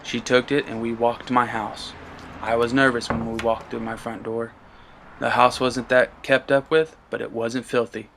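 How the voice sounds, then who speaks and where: A man's voice: medium pitch, flat delivery, normal speed, creaky, fairly bright tone, a little hiss or hum. A man giving a dramatic first-person reading of a story as its narrator. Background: guitar music.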